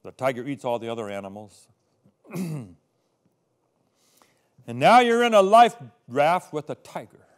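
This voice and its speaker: An older man preaching a sermon on a studio set, moving between quiet asides and loud, emphatic scripture readings, recorded on a lapel mic. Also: a little high, very animated, measured pace, pauses often. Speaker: pastor.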